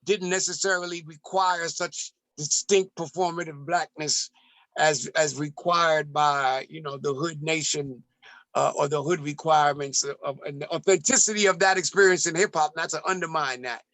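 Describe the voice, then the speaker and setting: A man from the United States, raised in Ethiopia, talking as a poet and musician guest in a reflective interview, measured and rhythmic with restarts. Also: a little high, steady tone, fairly bright tone, dry recording.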